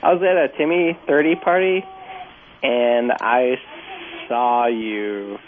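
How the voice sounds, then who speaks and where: A man's voice: medium pitch, slow pace, slight background noise. A man calling in to a show and chatting casually over the phone. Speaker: caller. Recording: thin phone line.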